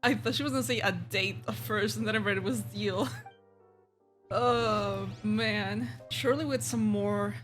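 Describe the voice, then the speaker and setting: A woman game streamer giving casual, excited commentary in a slangy, gushing, reactive way. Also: medium pitch, measured pace. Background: game music.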